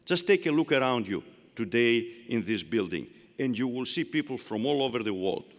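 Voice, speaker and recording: A man's voice: medium pitch, animated, normal speed. An older man with a Greek accent giving an emphatic, rhetorical commencement speech. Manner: rhetorical, emphatic, repeats phrases for effect. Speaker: commencement speaker. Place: large arena. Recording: long arena reverb.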